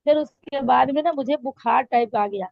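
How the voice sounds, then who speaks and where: A woman with a hoarse, high voice tells her pastor her story in halting phrases full of fillers and restarts. Her tone is gravelly. The sound is dull and lo-fi, like call audio, with no room echo. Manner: hesitant, many fillers and restarts. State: hoarse throat. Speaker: church member giving testimony. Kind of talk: spoken testimony to a pastor.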